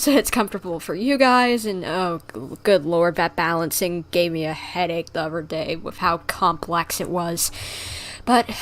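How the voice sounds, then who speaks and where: A woman chatting casually on a gaming livestream, rambling along with animated griping. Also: mid-range voice. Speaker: streamer.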